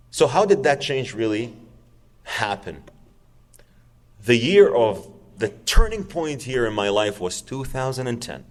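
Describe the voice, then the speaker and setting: A man's voice: mid-range voice, in a large hall. A man, telling his personal story to an audience in an earnest, emphatic way, repeating words for stress. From Saudi Arabia. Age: 40s. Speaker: public speaker.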